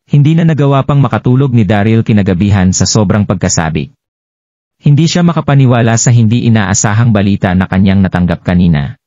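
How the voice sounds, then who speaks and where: A man's voice: on the low side, mellow. A Filipino man narrating a scripted, dramatized story as its storyteller. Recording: dull lo-fi, roomy echo.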